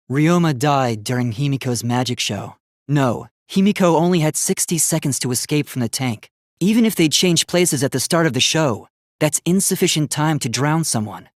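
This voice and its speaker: A man's voice: on the high side, no echo, studio quality. A man voicing an earnest, logical young robot student in a video game, speaking precisely and formally. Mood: analytical. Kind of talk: English video game voice acting.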